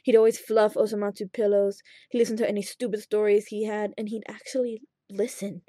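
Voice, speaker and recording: A woman narrates a piece of fan fiction with expression. Her voice is dark and relaxed, at a middling pitch. The sound is dry, with hardly any room to it. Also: fairly quick, no room sound. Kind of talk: expressive scripted narration of a story.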